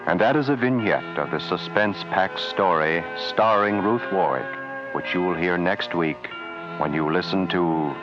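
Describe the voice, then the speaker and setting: A man reading a formal radio announcement in a measured manner. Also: low-pitched. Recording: muffled vintage radio with music.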